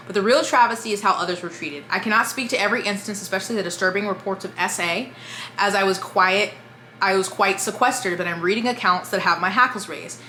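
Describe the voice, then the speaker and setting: A woman who hosts a book channel, reading a written statement aloud in a brisk, steady delivery. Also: medium pitch, echoey room, a little hiss or hum.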